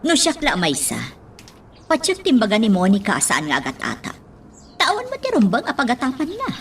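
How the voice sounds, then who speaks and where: A woman's voice: fairly high pitch, huge pitch swings, slight background noise. An actress playing a troubled, secretive mother in an Ilocano radio drama, speaking hesitantly. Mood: worried. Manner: hesitant, halting. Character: troubled, secretive wealthy mother hiding her worries.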